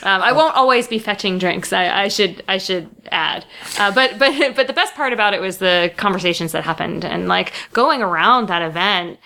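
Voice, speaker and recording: A woman from Long Island co-hosting a podcast in casual, chatty talk, halting now and then on fillers. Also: medium pitch, vocal fry, pushed and bright. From Long Island, New York. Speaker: podcast co-host. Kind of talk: casual chat.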